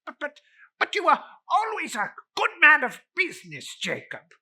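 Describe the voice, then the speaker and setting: A man plays a frightened old male miser from a storybook. The voice jumps high and bright, stammering through timid, whining pleas. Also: huge pitch swings, normal speed, heavily acted. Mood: nervous flattery. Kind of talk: dramatic storybook reading.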